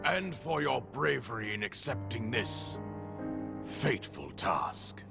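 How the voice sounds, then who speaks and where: A man playing a solemn, stern aging king who gives a grand, formal and deliberate ceremonial speech in a game cutscene. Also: mid-range voice, lively intonation, vocal fry, exaggerated voice. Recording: loud music behind the voice.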